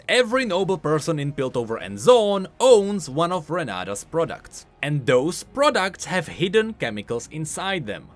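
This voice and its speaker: A man's voice: fairly high pitch, a bit bright. A man giving scripted explainer commentary as a video host, in an explanatory, emphatic manner.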